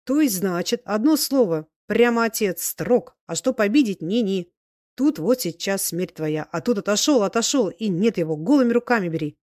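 A woman voicing a loud, tipsy old peasant ex-soldier who rambles in devoted exclamations, for a storybook. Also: mid-range voice, lively intonation, moderate pace, dry recording, clean studio sound, natural voice. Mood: fervent. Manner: exclamatory, rambling folk speech.

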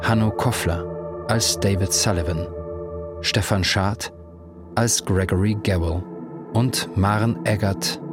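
A man announcing a radio play's title and credits in a formal, even manner. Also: fairly low pitch, slow, long pauses. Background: music.

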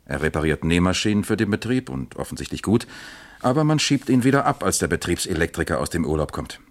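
A low-pitched police captain in a German radio crime play. He is deliberate and musing, putting his questions with dry, wry wit and probing patiently as an East German investigator. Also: big pitch swings. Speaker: actor in a radio crime drama.

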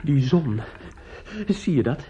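A man's voice: mid-range voice, animated. Shaken young guy at his wits end, stammery and agitated, muffled noisy radio play recording. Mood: distraught. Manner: halting, repetitive, agitated.

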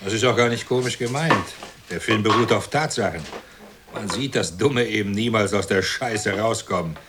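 A man's voice: lowish voice, normal speed, noisy background, lightly acted. A man playing a cold, greedy, cynical middle-aged husband in a German radio play, with a sneering manner. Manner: callous, sneering, laughing cruelly. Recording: loud music behind the voice.

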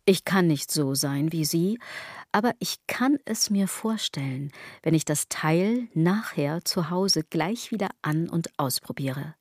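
An actress playing a sardonic, brooding woman in a radio drama monologue, with a husky, theatrical delivery that slips into breathy tones. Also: low voice, expressive, a little slow, a little mellow, dry recording, studio quality. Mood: wistful, self-mocking. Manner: ironic, theatrical, shifting into breathy whispers. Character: sardonic, brooding woman philosophising bitterly about fashion.

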